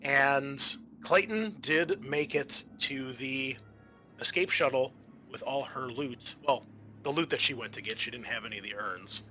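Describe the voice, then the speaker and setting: Bright-voiced man running a tabletop game. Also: mid-range voice, a little slow, soft background music. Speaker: game master and host. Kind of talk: narrating a tabletop roleplaying game.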